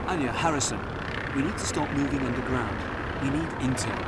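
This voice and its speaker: A man's voice: medium pitch, brisk, close to an everyday voice. A man acting as a terse, confident commando in a game cutscene, talking from the field. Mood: tense, reporting. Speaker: field agent. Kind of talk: scripted game cutscene dialogue. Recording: very noisy outdoor action cutscene. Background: loud helicopter and vehicle noise.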